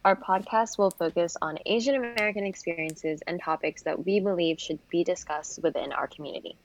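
A woman's voice: lowish voice, a little fast, muffled recording, slight background noise. A woman in her twenties, born in the Philippines, co-hosting a podcast with a dry, lightly sarcastic manner. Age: 20s. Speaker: podcast co-host. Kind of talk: podcast intro, partly read.

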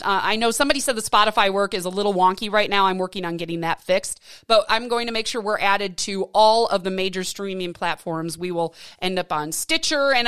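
A woman's voice: mid-range voice, fairly bright tone, clean tone. A woman in her late thirties hosting a podcast, talking in an earnest, encouraging way with frequent restarts. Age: 30s. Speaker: podcast host. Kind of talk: casual interview chat.

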